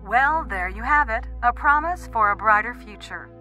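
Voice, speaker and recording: A polished female TV news anchor in a radio drama. Her voice is bright and forward. She reads in a composed, sombre broadcast manner over music. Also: a little high, animated, normal speed.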